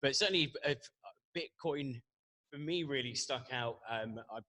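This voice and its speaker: Halting man in casual debate. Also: medium pitch, sharp tone, dry recording, clean studio sound. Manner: halting, stammering with many false starts. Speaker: panel guest who works as a business analyst.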